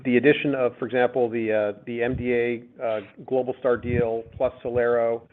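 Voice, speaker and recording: A man speaking as a company executive, giving unscripted, halting business answers with repeated words on a conference call. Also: mid-range voice, little pitch movement. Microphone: conference call line. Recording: phone-like narrow-band conference call audio.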